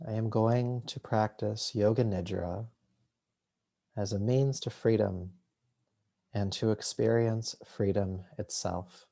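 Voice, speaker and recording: A man leading a guided meditation as a yoga nidra teacher, soothing and unhurried, leaving long pauses between short phrases. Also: lowish voice, steady tone, vocal fry, dark tone.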